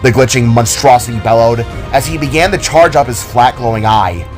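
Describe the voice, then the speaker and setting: A male narrator reads a scripted action story in a dramatic style. His pitch is average for a man. Music plays faintly behind him. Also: brisk. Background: background music.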